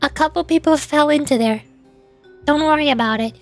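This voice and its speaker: A woman with a high-pitched voice gives casual, rambling live-stream commentary. She explains things in a chatty way and drops in playful asides. Music plays behind her. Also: a little fast.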